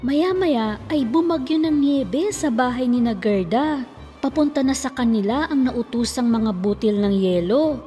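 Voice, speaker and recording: Mellow woman narrating fairy tales. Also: fairly high pitch, animated, a little slow, long reverb tail. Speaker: storyteller, narrator. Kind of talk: scripted children's fairy tale narration. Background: faint music.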